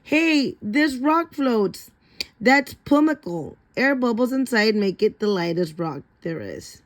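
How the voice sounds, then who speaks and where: A woman voicing excitable schoolchildren from a storybook, exclaiming with urgency. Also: fairly high pitch, takes it slowly. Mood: excited. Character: excitable schoolchildren on a field trip, alarmed and curious.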